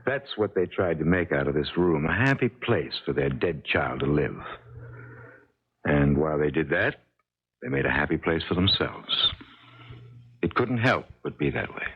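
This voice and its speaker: A man acting in an American 1970s radio drama as a strange, grieving older man, telling things slowly and deliberately with folksy certainty. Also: extremely low pitch, big pitch swings, breathy edge, narrow, tinny audio, exaggerated voice. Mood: wistful. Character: strange, grieving older man guarding a dead sweetheart's memory.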